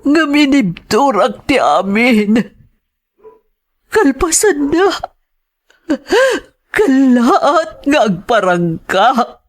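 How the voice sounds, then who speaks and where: Woman acting slow-spoken, wounded Ilocano wife. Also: high-pitched, very animated, full character voice. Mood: anguished, reproachful. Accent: Filipino (Ilocano). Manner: slow, aggrieved, emotional. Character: wounded, reproachful wife long abandoned by her husband. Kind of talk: audio drama acting.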